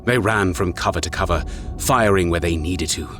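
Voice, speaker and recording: A man's voice: low-pitched, normal speed, a bit bright. A man reading scripted, dramatic narration for an audio story. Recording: music behind the voice.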